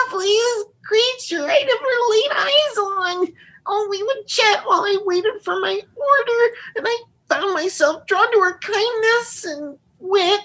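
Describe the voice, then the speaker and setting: Shame spirit, dead teenage boy: very high, sharp, slow, whining melodrama for radio comedy. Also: exaggerated voice, low-quality recording. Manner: melodramatic, whining, self-pitying. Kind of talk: comedy radio theatre acting.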